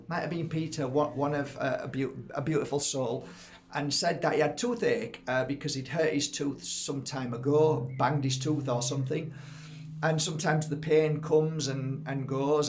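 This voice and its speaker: Rambling, excited man over faint music. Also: mid-range voice. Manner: rambling, enthusiastic, many fillers and restarts. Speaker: vlogger. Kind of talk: casual testimonial monologue.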